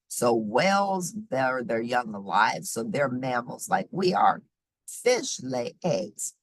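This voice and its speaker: Expressive woman reading aloud, swooping pitch. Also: slightly rough, no echo, low-quality recording. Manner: expressive, emphatic, teacherly explaining to children. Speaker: read-aloud host. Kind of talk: children's nonfiction book read-aloud with short asides.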